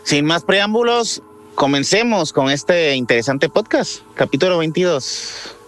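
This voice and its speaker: A man from Puerto Rico with a bright, punchy and somewhat high voice co-hosts a podcast. He chats casually and playfully over a light music bed. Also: animated, a little slow. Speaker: podcast co-host.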